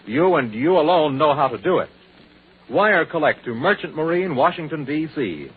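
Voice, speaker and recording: An American man working as a radio announcer, reading scripted copy in 1940s broadcast style with a husky voice. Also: fairly high pitch, expressive, moderate pace, narrow, tinny audio.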